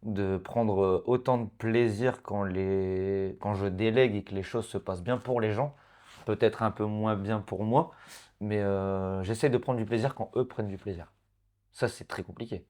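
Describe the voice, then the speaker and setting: A man's voice: on the low side, steady tone, normal speed, darkish tone. A man in his thirties born in Portugal, speaking as an interview guest, talking hesitantly with many fillers and laughs. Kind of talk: casual interview conversation.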